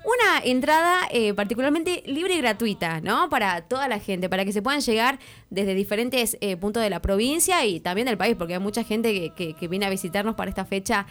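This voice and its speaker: A woman with an Argentine accent hosting a morning radio show, chatting in a lively, welcoming way with quick interjections. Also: medium pitch. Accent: Argentine Spanish. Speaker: radio host.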